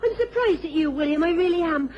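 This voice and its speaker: A woman's voice: very high-pitched, expressive, fast talker, a little mellow. A woman playing an outspoken, protective village inn woman in a radio drama. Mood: indignant. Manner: forthright, protective. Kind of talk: BBC radio drama acting. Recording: muffled old radio recording.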